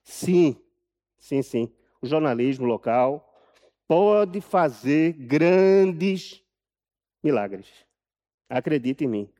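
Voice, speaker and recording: A man with a Brazilian accent giving a live talk from a prepared text, telling his story deliberately and emphatically, repeating phrases for effect. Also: a little high, expressive, dark tone. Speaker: journalist and author speaking at an event.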